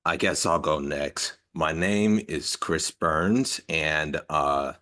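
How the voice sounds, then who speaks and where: Deep slow-talking man on a video call. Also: pushed and bright. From Ohio, United States. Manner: hesitant, many fillers. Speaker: participant. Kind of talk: casual self-introduction.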